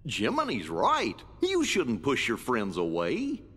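Casting: kindhearted goofy dog, husky and bright, cartoon game sidekick, folksy and earnest. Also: male voice, medium pitch, wildly swooping pitch, slow, full character voice. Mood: gentle reassurance. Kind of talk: cartoon video game acting. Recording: long hall reverb.

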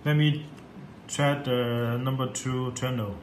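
A man walks through a product tutorial in an echoey, slightly noisy room. His English is simple and halting, he often repeats himself, and his delivery stays quite monotone. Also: medium pitch. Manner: halting, repetitive, simple non-native English. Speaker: product demonstrator. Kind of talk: unscripted software tutorial. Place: indoor room at a computer desk. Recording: echoey room with background noise.

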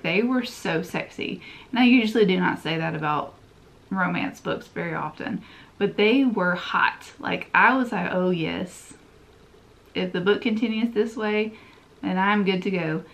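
A woman's voice: medium pitch, a little slow. A woman in her thirties with a stuffy, congested voice, chatting emphatically about books she has been reading, recorded at home by a camera at desk distance. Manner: chatty and emphatic, with frequent repetitions. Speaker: book vlogger. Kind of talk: casual book review chat. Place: home room lined with bookshelves. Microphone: camera in front at desk distance.

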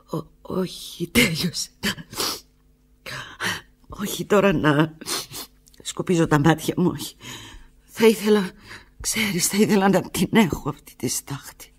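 An actress playing a desperate, lovelorn woman on the telephone to the lover who left her, in a theatrical radio drama monologue, halting and emotional with a breathy, husky voice. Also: lowish voice. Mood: tearful, fragile. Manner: halting, emotional, swinging from hushed murmurs to urgent cries.

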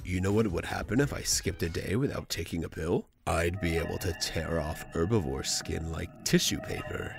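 A man voicing an unstable, menacing adult bear in a manga fan dub, slow, measured and ominous. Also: deep voice, vocal fry, music in the background. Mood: calm, ominous.

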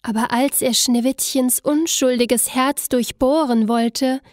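A woman narrating a scripted fairy tale in an expressive, storybook manner. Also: sits high, dry recording. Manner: expressive, unhurried storybook telling.

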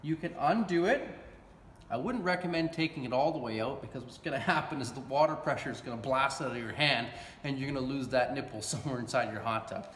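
A man's voice: medium pitch, fast talker, a bit bright. A man, giving a casual, folksy hands-on repair demonstration as a technician. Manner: folksy, colloquial, checking in with "okay?". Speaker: hot tub repair technician presenting a how-to. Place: large indoor warehouse with brick walls. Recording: echoey warehouse with clear background noise.

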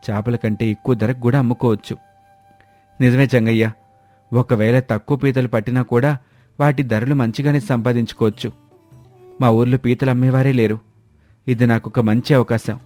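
Man acting earnest village men, bright voice. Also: medium pitch, close to an everyday voice. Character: village men in a moral tale, earnest and humble. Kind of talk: dubbed animated moral story dialogue. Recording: music under the voice.

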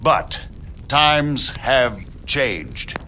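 Formal, bright-voiced medieval nobleman. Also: mid-range voice, very animated, a little hiss or hum, exaggerated voice. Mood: measured, stately. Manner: formal, deliberate. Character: measured middle-aged margrave, a scheming royal cousin. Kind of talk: video game cutscene acting.

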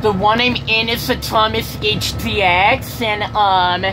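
A young man chatting casually to a handheld camera in a halting, repetitive, rambling way. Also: fairly even intonation. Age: teenager or young adult. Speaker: vlogger. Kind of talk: casual rambling vlog chat. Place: inside a moving school bus. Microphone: handheld camera at arm's length. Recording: loud bus engine and road rumble.

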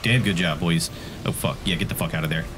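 A man bantering casually as he comments on a friend's video game, joking and swearing freely in an excitable way. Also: deep voice, fast talker, background noise. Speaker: co-host commenting on a friend's gameplay. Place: indoor room, webcam setup beside another player. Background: game music.

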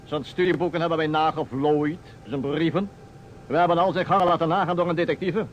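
A man's voice: a little high, steady tone, a little fast, natural voice. A man acting a wry, gossipy small-town baker being questioned in a Dutch radio play. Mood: insistent. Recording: dull old radio recording, faint noise.

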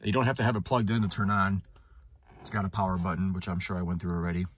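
A man's voice: low voice, little pitch movement, fast talker, husky. A man doing a casual, unscripted product demonstration as an automotive technician, thinking aloud in a conversational way. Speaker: automotive technician reviewing a scan tool. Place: inside a parked car in a shop.

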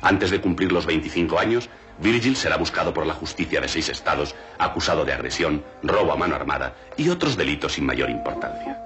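Mock-grave film documentary narrator, deep voice, lively intonation, music behind. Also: male voice, a little fast. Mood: mock-solemn. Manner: solemn, mock-grave newsreel delivery.

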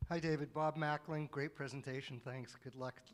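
A man's voice: medium pitch, steady tone, moderate pace. A man in the audience politely congratulating the speaker and asking a technical question.

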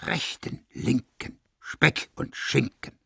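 A man's voice: slow pace, a bit bright, no room sound, studio quality. A man playing a deranged, volatile madman in a German audio drama, emphatic and erratic. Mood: muttered marching chant. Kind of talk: German audio drama acting, chanting and singing.

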